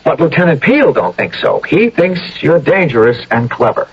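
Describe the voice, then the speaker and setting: An actor playing a nervous, folksy young American soldier in a radio drama, stammering and deferential, with a husky voice. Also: male voice, on the high side, huge pitch swings, moderate pace, exaggerated voice. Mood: earnest, hesitant. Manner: stammering, hesitant, deferential, ungrammatical. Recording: dull old radio broadcast recording.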